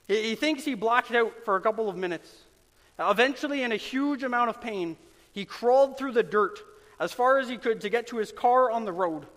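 High-voiced man preaching in a reverberant hall. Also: a bit bright. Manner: earnest, emphatic preaching with dramatic pauses. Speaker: pastor. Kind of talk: sermon.

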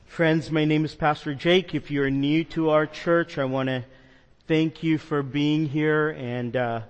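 A man's voice: fairly high pitch, steady tone, unhurried, in a large hall. A man from the New York metro area preaching a sermon as a pastor, earnest and conversational with some fillers. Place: church sanctuary.

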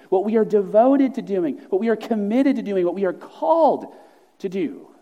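A man's voice: high-pitched, warm tone. A man preaching an earnest, emphatic sermon with repeated phrases, then leading a closing prayer in a church sanctuary. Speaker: pastor. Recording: long reverb of a large hall.

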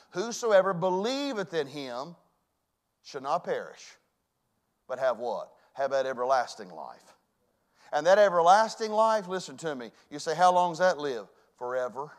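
Fervent preacher, high-pitched man. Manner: preaching with sweeping swings from loud to hushed. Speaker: pastor. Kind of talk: sermon. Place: church.

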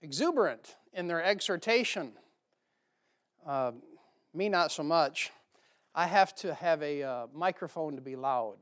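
High-voiced American preacher, clear and smooth. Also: male voice, animated, measured pace, pauses often, fairly bright tone. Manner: informal, folksy asides to the congregation. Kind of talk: church sermon with Bible reading.

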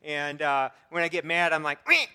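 A man preaching a sermon as pastor, earnest, with light self-deprecating humor, frequent fillers and restarts, and a voice he himself calls squeaky. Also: normal speed, bright, forceful tone, clear, resonant tone. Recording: long reverb from a hall.

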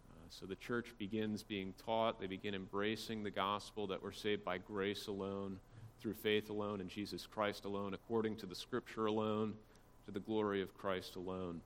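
Low-voiced man teaching in a reverberant hall. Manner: conversational, explanatory, with casual asides. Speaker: church teacher. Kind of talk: informal church history lecture. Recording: long hall reverb, faint background noise.

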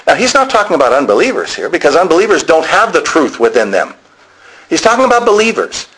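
A man's voice: on the high side, huge pitch swings, fast, fairly bright tone, rough recording. A man preaching a sermon, explaining to listeners directly and repeating key phrases for emphasis.

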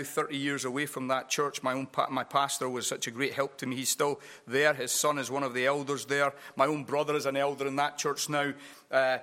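A man's voice: a little high, pushed and bright. A Scottish man in his forties, a pastor preaching an earnest sermon with frequent restarts and emphatic rises. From Scotland.

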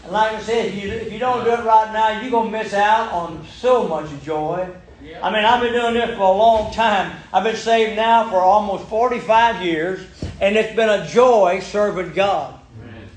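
Older preacher, high voice, emphatic folksy sermon, big church echo. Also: male voice, moderate pace. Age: 70s. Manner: folksy, emphatic, calls for amens. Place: church sanctuary. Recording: very echoey church room.

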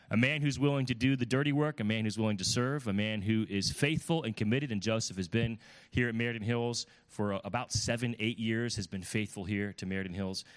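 A man, a pastor, introducing a guest preacher to the congregation with praise. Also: mid-range voice. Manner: warm praise, rising to an enthusiastic introduction. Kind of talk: church introduction.

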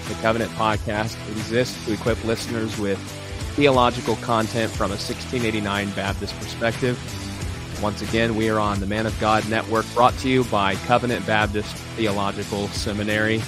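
A man's voice: lowish voice, little pitch movement, normal speed, over music. A man hosting a podcast interview, welcoming his guest in a friendly, formal way with many fillers. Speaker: podcast host.

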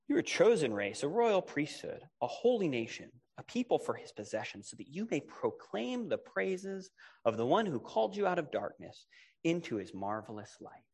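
A man's voice: fairly high pitch, slightly rough. A man with a big, projecting voice preaching a conversational sermon, casual and self-deprecating, often asking rhetorical questions. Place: large reverberant room. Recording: reverberant hall.

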